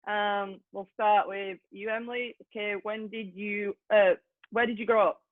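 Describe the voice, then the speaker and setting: A woman's voice: mid-range voice, flat delivery, measured pace, no room sound. English lady coach hosting a zoom q&a, chatty, tinny dry phone-ish sound. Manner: chatty, fills with "okay". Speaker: youth soccer coach, host. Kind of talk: hosting a video call Q&A. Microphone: video call. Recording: tinny, dry video call audio.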